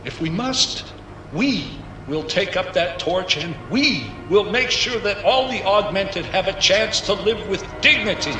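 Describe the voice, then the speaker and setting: A man's voice: high voice, expressive, a little slow, background noise. A man playing a fervent orator in a video game, declaiming a rousing, resolute public speech. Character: fervent male orator promising hope and dignity. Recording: echoing large-space speech.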